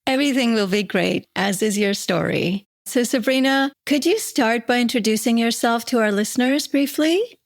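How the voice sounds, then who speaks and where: A woman's voice: a little high, normal speed, few pauses, no room sound, studio quality. A woman hosting an interview podcast, delivering a scripted introduction and asking questions in an encouraging way. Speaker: podcast host. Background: guitar music.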